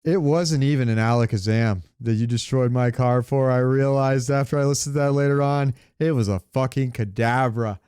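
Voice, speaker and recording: A man's voice: mid-range voice, steady tone, moderate pace, hardly any pauses, a little mellow, clean tone, dry recording, clean studio sound. A man co-hosting a podcast in casual pop-culture chat, rambling and searching for words, with casual swearing. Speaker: podcast co-host.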